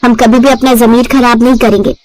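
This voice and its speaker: A woman plays a young daughter-in-law in a moral tale. She is patient and principled, speaking in a fairly high voice. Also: level tone, a little fast, a little mellow, soft background music, lightly acted, rough recording. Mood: firm.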